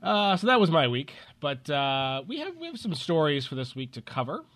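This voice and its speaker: Bright-voiced man with a congested head cold. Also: fairly high pitch, very animated, moderate pace, dry recording. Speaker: podcast host. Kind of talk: casual podcast chat.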